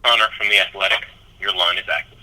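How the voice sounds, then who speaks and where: A man's voice: low voice, steady tone, quick pace, pushed and bright. A man moderating a conference call, giving brief formulaic announcements over a phone line.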